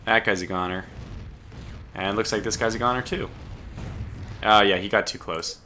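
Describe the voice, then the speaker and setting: Hoarse man muttering through a strategy game. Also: lowish voice, fairly even intonation, normal speed, bright, forceful tone, a little hiss or hum. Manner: muttering to himself while playing. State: losing his voice, clearing his throat. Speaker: let's play host. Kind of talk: casual gameplay commentary.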